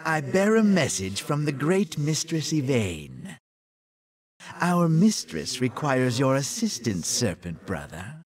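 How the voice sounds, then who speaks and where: A man playing a formal, obedient messenger in a video game, speaking in a courtly, measured way. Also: medium pitch, very animated. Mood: formal, dutiful. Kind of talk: video game cutscene acting.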